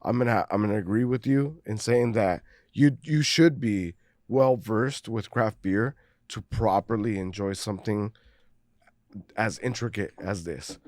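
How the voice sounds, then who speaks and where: A man's voice: fairly low pitch, measured pace, darkish tone, no room sound, clean studio sound. A man co-hosting a casual beer podcast, talking at length, swearing freely and laughing often. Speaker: podcast co-host. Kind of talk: casual chat, beer review.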